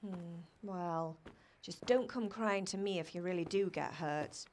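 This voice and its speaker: An actress playing a brisk, scolding but caring middle-aged mother from a medieval village in a video game. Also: fairly low pitch, darkish tone, slight background noise, close to an everyday voice. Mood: worried scolding. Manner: chiding, matter-of-fact. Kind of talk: naturalistic video game acting.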